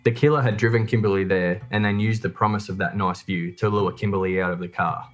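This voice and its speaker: A man's voice: lowish voice, fairly even intonation, brisk, soft background music. A man reading scripted true crime documentary narration as the narrator.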